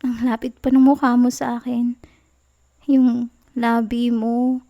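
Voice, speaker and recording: A young woman playing a clingy, pouty, playful girlfriend in an intimate ASMR roleplay, with a Filipino accent and a soft, whiny, teasing delivery. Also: fairly high pitch, little pitch movement, takes it slowly, highs cut off. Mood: tender, melting.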